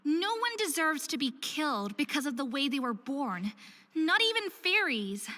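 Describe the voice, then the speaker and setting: Casting: a desperate, earnest young half-faerie for a narrative game; high-pitched, dry close sound. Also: female voice, very animated, moderate pace, sharp tone, dry recording, exaggerated voice. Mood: saddened. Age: young adult. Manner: earnest, emotional delivery. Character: troubled young hybrid faerie woman, self-hating, desperate, earnest. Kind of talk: visual novel voice acting.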